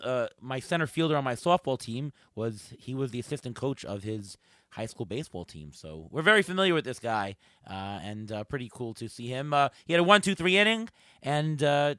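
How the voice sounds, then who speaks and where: A slightly hoarse man hosting a casual sports podcast. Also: mid-range voice, fairly bright tone, no room sound, clean studio sound. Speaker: podcast host. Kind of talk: casual sports talk with a co-host and guest.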